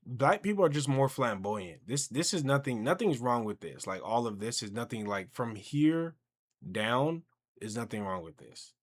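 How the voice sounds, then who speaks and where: A young man hosting a casual reaction commentary, talking in an animated, conversational, slangy way. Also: mid-range voice, moderate pace. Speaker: reaction video host.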